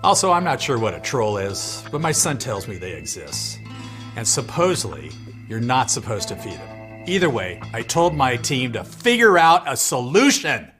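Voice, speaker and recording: A man acting as a stern business owner in a mock-serious ad testimonial, speaking in a dry, deadpan way over music. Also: mid-range voice, normal speed, close to an everyday voice. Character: stern male business owner, middle-aged. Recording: music bed under the voice.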